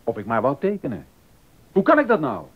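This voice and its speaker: An actor playing a stern, exacting baroque composer and organist in a docudrama, emphatic and easily indignant. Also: male voice, huge pitch swings, fairly quick, breathy edge. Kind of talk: docudrama radio acting. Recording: dull audio with rumbling noise.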